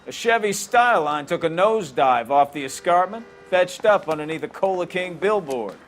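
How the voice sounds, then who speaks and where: An actor playing a brisk 1940s American police superior in a video game, giving clipped orders in hard-boiled slang. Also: male voice, fairly high pitch, lively intonation, a little hiss or hum, natural voice. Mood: brisk, wry.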